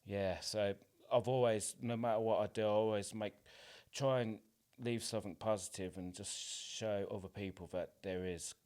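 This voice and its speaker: Guy with a lowish voice chatting as a podcast guest, honest and encouraging. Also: fairly even intonation, moderate pace, darkish tone. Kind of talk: casual personal interview chat.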